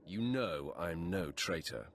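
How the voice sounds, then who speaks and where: An actor playing a cold, ruthless Sith warrior in a video game, speaking in a curt, commanding, threatening way. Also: male voice, low voice, lively intonation, slow, slight background noise, exaggerated voice. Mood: cold, assured. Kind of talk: cinematic video game acting.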